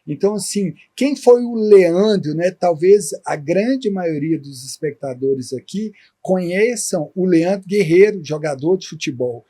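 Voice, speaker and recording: A man hosting a podcast interview who also works as a therapist, asking reflective, measured questions. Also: sits high, lively intonation, warm tone.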